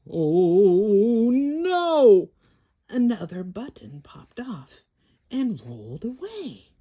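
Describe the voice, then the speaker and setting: A woman hosting a children's story time, reading a picture book aloud in a theatrical, deliberate way with exclamations. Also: a little high, darkish tone. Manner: slow, deliberate phrasing for young children. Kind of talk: animated read-aloud picture book storytelling with sung refrains.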